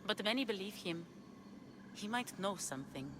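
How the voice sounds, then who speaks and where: A woman's voice: medium pitch, lively intonation, moderate pace, noisy background, lightly acted. An actress playing a lovesick, desperate woman of ancient Greece in a video game, with an earnest, pleading delivery. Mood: earnest, explaining. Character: lovesick, desperate ancient Greek woman seeking her lover. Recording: music behind the dialogue.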